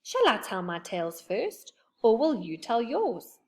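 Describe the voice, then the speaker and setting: A woman's voice: on the high side, sing-song, measured pace, darkish tone. A woman reading aloud and voicing a cheerful, brave young boy in a storybook.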